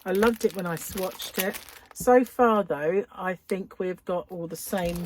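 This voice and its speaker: A woman chatting casually to followers as a craft vlogger during an unboxing, gushing and admiring with breathy asides. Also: fairly low pitch, measured pace, a little mellow. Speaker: craft vlogger and yarn shop owner. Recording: clear background noise with crinkling wrappers. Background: crinkling cellophane, clicks and rustling.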